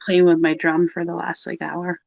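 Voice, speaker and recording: A woman's voice: fairly low pitch, little pitch movement, normal speed, gravelly, no echo. Playful laughing woman on a dull call. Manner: laughs often, rambling and playful. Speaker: co-host of a pagan livestream. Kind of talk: casual group chat on a live video call. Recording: dull dry video-call audio.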